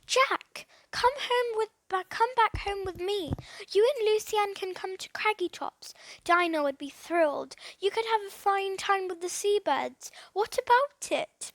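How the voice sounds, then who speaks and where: A woman voicing an eager, impulsive schoolboy in a children's storybook, talking with excited urgency. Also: very high-pitched, unhurried, no room sound, pitched above the performer's own voice, full character voice.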